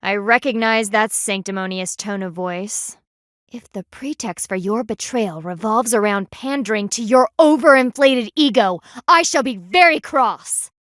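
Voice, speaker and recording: A woman's voice: fairly high pitch, measured pace, a bit bright, dry recording, clean studio sound. A woman voicing a stern, proud panda warrior in a video game, with a formal, commanding, clipped manner. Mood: cold, scornful. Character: stern, proud anthropomorphic panda woman, loyal military guardian.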